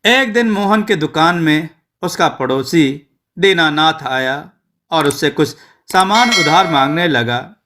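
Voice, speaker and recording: A man narrating a scripted moral story in a measured, clearly enunciated storytelling manner. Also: a little high, lively intonation, sharp tone.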